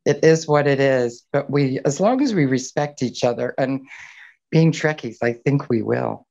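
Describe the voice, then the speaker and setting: A woman's voice: a bass voice, lively intonation, unhurried, gravelly, a little mellow. A middle-aged woman chatting casually on an online call, talkative and rambling. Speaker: fan attending a group hangout. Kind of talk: casual personal chat. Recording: dull lo-fi call audio.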